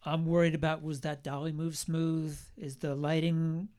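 A man working as a film editor, speaking on a panel in a relaxed, self-mocking way. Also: fairly high pitch, moderate pace, clean tone, dry recording, clean studio sound. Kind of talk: panel discussion.

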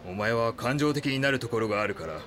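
An actor playing a cautious, authoritative police chief in an audio drama, formal and commanding. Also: male voice, mid-range voice, fast talker, fairly bright tone, lightly acted.

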